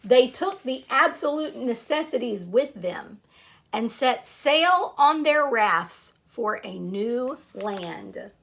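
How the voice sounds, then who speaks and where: A woman reading a children's picture book aloud, expressive, pausing to put questions to young listeners. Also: a little high, gravelly.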